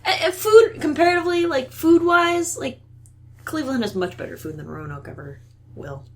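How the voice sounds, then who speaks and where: Lively, teasing woman podcaster. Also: high-pitched. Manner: animated, teasing, quick to jump in. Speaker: podcast co-host. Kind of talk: casual chat.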